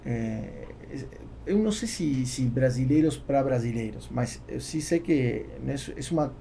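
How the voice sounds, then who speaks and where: A man's voice: medium pitch, lively intonation, measured pace, vocal fry, warm tone, a little hiss or hum. A man in his forties from Argentina, a native Spanish speaker with an Argentine accent, chatting as a podcast guest and often searching for words. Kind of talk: conversational interview. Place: small foam-treated podcast studio. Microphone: close podcast microphone on boom arm.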